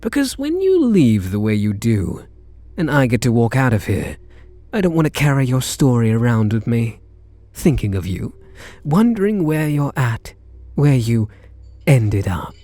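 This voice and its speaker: A British man playing a charming, teasing kidnapper who is softening toward his captive, in an intimate ASMR roleplay. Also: medium pitch, sing-song, unhurried, no room sound. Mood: wistful. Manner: teasing, flirtatious, murmuring pet names.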